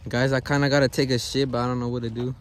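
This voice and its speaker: A young man vlogging a hike with loud, slangy, joking talk, swearing and dropping Spanish phrases, filming on a phone held at arm's length outdoors. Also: medium pitch, little pitch movement, very fast. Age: young adult. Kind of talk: casual hiking vlog. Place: outdoors on a hillside trail and in a car. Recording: phone audio with clear background noise.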